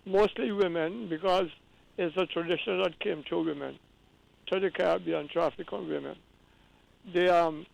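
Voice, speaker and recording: A man's voice: sits high, steady tone, moderate pace, gravelly, poor audio. A man from Guyana calling in to a radio talk show, explaining at length with earnest repeated restarts. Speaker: caller.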